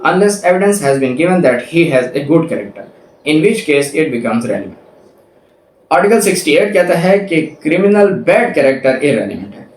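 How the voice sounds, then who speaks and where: Echoey Pakistani man lecturing. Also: a little high. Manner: didactic, repeats points for emphasis. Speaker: law lecturer. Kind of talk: explanatory lecture, reading statutes and paraphrasing them. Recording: echoey room with faint background noise.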